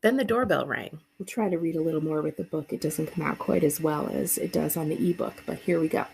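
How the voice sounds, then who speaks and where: A woman's voice: low-pitched, fairly quick, gravelly, a little mellow, a little hiss or hum. A woman, a librarian reading a children's book aloud with casual asides.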